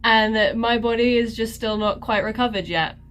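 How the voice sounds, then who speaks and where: A woman's voice: on the high side, steady tone, moderate pace, fairly bright tone. A woman vlogger giving a casual life update, her nose stuffy and congested, rambling and sarcastic about herself. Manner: sarcastic, self-mocking, rambling with many fillers.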